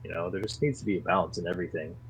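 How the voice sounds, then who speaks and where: A man talking casually as a podcast guest, earnest and getting animated when he defends his views. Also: low voice, rapid-fire, a little hiss or hum, rough recording. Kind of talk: casual conversation.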